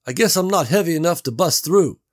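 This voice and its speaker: A man's voice: fairly high pitch, expressive, brisk, pitched above the performer's own voice. A man voicing Gary, a nervous, eager young man trapped in an air vent, who talks earnestly and runs himself down. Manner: earnest, anxious, self-deprecating.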